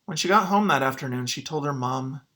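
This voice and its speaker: A man's voice: medium pitch, expressive, brisk, bright, forceful tone. A man speaking as a teacher to his students, in an informal read-aloud lesson style.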